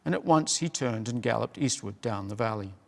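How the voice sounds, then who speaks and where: Male storybook reader, gravelly, slightly high, roomy reverb. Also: lively intonation. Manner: measured storytelling. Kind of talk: reading a children's novel aloud. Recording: long hall reverb.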